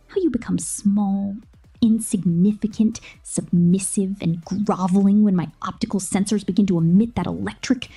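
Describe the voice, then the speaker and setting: A woman's voice: fairly high pitch, warm tone, breathy edge. A woman playing a possessive, contemptuous killer robot girl in an ASMR villain roleplay, theatrical and domineering, often dropping into a whisper.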